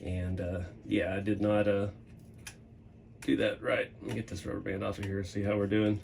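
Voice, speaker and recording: A man hosting a hobby vlog from his workshop, casually rambling and chuckling, his voice going hoarse. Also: lowish voice, normal speed, a little hiss or hum. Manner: relaxed, rambling, chuckles often. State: losing his voice. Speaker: hobby channel host. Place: home workshop.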